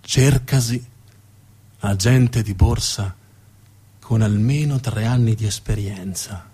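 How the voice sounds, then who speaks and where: A man's voice: fairly low pitch, takes it slowly, dark tone, husky. A man performing a theatrical narrative monologue, dramatic and emphatic, building on repeated phrases. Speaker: actor and monologue storyteller.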